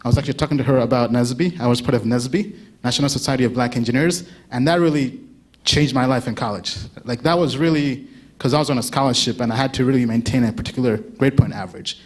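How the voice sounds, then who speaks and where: A man's voice: mid-range voice, fast. A man from Uganda in his thirties giving a casual conference talk, chatting with the audience, asking them questions and laughing often. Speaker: conference speaker.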